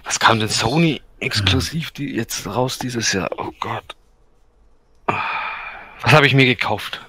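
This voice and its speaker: A man chats casually as a podcast co-host. His voice is bright and forward, recorded dry and close. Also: mid-range voice, animated, takes it slowly, slightly rough, dry recording. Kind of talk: casual gaming chat.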